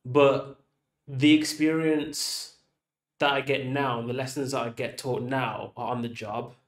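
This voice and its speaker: A man hosting a casual interview, talking in a relaxed, chatty way and saying "like" a lot. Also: medium pitch, measured pace, roomy sound. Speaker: interview host.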